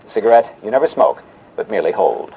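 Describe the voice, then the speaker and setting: A man's voice: fairly low pitch, animated, noisy background. A man playing a pompous, easily flustered host in a parody of a vintage television interview show, with a mock-dramatic delivery. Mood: grand, announcing. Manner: mock-dramatic announcer delivery, halting and hesitant when questioning.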